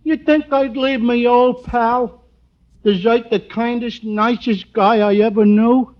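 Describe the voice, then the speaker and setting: An actor playing a slow-witted, loyal barfly pal with a dopey, drawn-out delivery in a 1940s radio comedy. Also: male voice, extremely high pitch, steady tone, muffled recording. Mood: loyal, earnest. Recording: band-limited old radio recording with noise.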